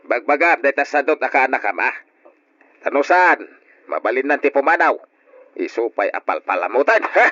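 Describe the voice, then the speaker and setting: An actor with an Ilocano accent playing a harsh, middle-aged uncle who nags gruffly in old radio drama style. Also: male voice, medium pitch, sing-song, moderate pace, sharp tone. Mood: scolding. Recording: dull old radio recording.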